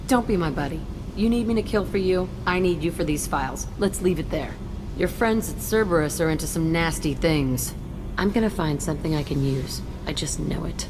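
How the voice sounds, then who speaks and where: A woman's voice: low voice, normal speed, background noise, natural voice. An actress voicing a hostile, guarded young female fugitive in a video game, curt, menacing and sardonic. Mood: cold, vengeful.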